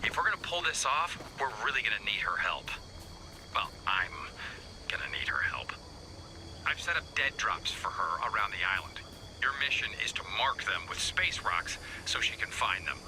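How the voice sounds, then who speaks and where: An actor playing an anxious, wordy male scientist in a video game, with a halting, wry delivery and formal vocabulary. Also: on the low side, sing-song, noisy background. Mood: wry, businesslike. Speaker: game character.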